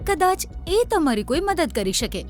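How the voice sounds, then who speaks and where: A woman's voice: high voice, very animated, normal speed, faint music behind. A woman voicing an earnest, trusting village headwoman in a children's cartoon, with a devout, pleading manner. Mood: reassuring.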